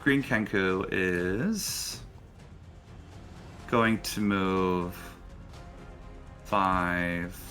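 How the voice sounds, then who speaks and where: A man with a bright, forward voice and a fairly low pitch acts as game master, narrating a tabletop roleplaying session. Faint music plays behind him. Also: very slow, long pauses.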